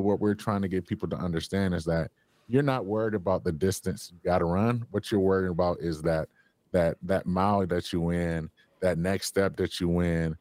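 A man's voice: deep voice, a little mellow, no echo. A man being interviewed as a guest on a radio show, talking with enthusiasm and laughing easily.

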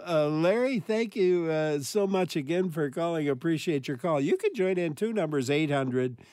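Slightly high-voiced male radio host. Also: lively intonation, moderate pace, a little mellow, no room sound, studio quality. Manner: friendly, many filler "uh"s. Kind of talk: call-in radio hosting.

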